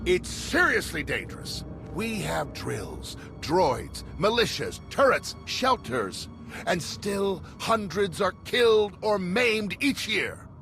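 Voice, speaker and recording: A man's voice: high-pitched, very animated, slow pace, creaky, heavily acted. An actor playing an exasperated, contemptuous male Republic official. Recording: game cutscene with music behind.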